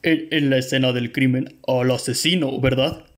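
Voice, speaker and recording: A Mexican man acting in a student radio drama as a hesitant, flustered police officer with halting, stammered speech. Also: medium pitch, steady tone, lightly acted.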